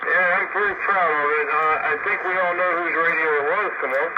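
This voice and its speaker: A man's voice: on the high side, brisk. A man calling in on a two-way radio with casual operator chat. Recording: very noisy, muffled two-way radio transmission.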